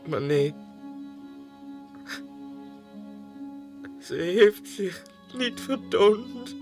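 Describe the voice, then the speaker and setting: An actor playing a lovesick, deluded clerk sliding into madness, in a theatrical radio monologue that shifts into breathy whispers. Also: male voice, sits high, expressive, takes it slowly, darkish tone, exaggerated voice. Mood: anguished longing. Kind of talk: 1960s radio drama monologue, diary read aloud. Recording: 1960s radio recording with music.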